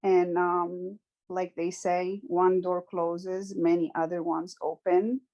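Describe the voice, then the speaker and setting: A woman's voice: lowish voice, little pitch movement, warm tone, dull audio. Earnest woman telling her story. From Morocco. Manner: earnest and reflective, halting with frequent restarts. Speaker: guest speaker sharing her story. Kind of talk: conversational personal testimony.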